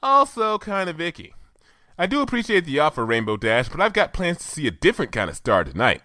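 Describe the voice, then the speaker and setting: An earnest, bookish unicorn mare, as a man puts her on, raised above his own voice and lively in her rises and falls. She explains everything in a precise, lecturing way, in a bright, dry sound. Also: fairly high pitch, fairly quick, dry recording, pitched above the performer's own voice, full character voice. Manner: precise, lecturing, enthusiastic about science.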